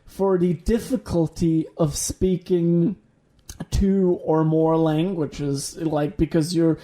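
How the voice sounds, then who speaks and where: A man whose first language is Danish, co-hosting a casual podcast chat, talking haltingly with many fillers and restarts. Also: a little high, level tone, warm tone. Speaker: podcast co-host.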